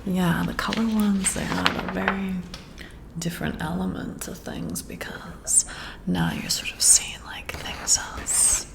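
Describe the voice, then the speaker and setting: A woman performs a flirty boss in a close-miked ASMR roleplay. Her voice is a breathy whisper with a crackle of fry at the edges. She takes her time, leaving drawn-out pauses and keeping an intimate, intrigued manner. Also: lowish voice, fairly even intonation. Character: flirty, intrigued female boss giving a job interview. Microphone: close-up ASMR microphone.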